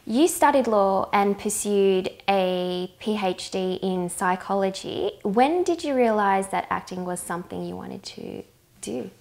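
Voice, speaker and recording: A woman interviewer with a mid-range voice puts her questions plainly and steadily. She sounds measured and direct, like a journalist leading a sit-down interview. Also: medium pitch, creaky, a little mellow.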